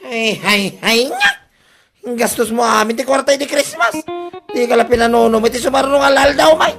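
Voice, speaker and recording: A man's voice: very high voice, animated, normal speed, gravelly, a bit bright, full character voice. A man with an Ilocano accent playing an exasperated husband who scolds and complains at his spendthrift wife in a comedy drama. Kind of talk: comedy radio drama acting. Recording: music behind the voice.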